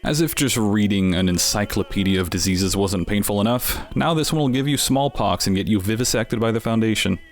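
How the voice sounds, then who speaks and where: A man's voice: lowish voice, fairly quick, few pauses, soft background music. A man giving scripted narration as the host of an explainer series, with wry, dryly humorous asides.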